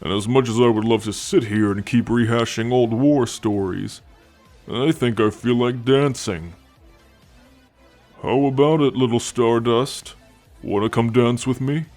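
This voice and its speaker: A man's voice: mid-range voice, unhurried, darkish tone, soft background music, close to an everyday voice. A man voicing an easygoing alien tank soldier in an ASMR roleplay, wry and flirtatious, always ribbing his friend. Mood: flirty, coaxing.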